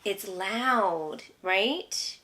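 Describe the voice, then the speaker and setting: A woman with a mid-range voice reads a picture book aloud at an unhurried pace. She speaks simply, as a mother teaching a young child at home. She pauses to prompt with easy questions and encourages the answers patiently. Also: medium pitch, animated, bright, forceful tone. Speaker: homeschooling mother teaching her child to read.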